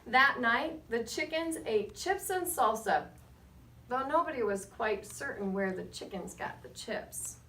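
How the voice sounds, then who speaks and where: A woman reading a picture book aloud to children as a guest storyteller, with expressive, measured delivery and short asides to her listeners. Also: on the high side. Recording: roomy, distant mic, faint background noise.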